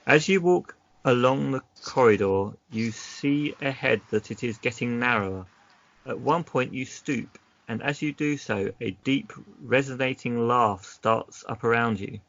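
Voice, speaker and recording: A man acting as game master, reading gamebook narration aloud in an unhurried way and mixing it with casual chat. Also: medium pitch, vocal fry, a bit bright. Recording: dull lo-fi recording.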